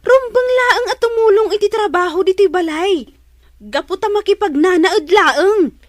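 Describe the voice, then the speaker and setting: A woman's voice: very high voice, normal speed, no echo, clean studio sound. A woman playing a spiteful, whiny young daughter who complains petulantly, in an Ilocano-accented radio drama.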